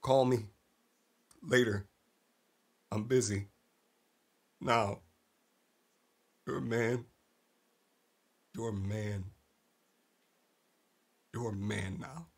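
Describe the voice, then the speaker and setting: An actor playing a tormented, resigned killer and estranged brother in a stage drama, speaking haltingly in a gravelly, husky voice. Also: male voice, lowish voice, steady tone, heavily acted. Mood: agitated. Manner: slow, halting, haunted.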